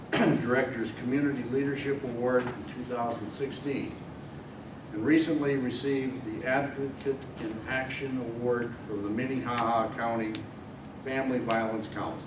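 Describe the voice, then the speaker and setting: A man's voice: medium pitch, steady tone, moderate pace. Man introducing a guest speaker. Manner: reads out awards in a list. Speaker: event host introducing the guest. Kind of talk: formal introduction. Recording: echoey room, distant mic, hiss.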